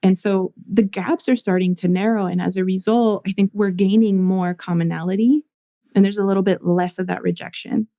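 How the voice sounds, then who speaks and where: A young adult woman from the United States, a therapist speaking as a podcast guest in a thoughtful, measured way with some restarts. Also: medium pitch, steady tone, creaky, mellow, dry recording, clean studio sound. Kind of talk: reflective interview conversation.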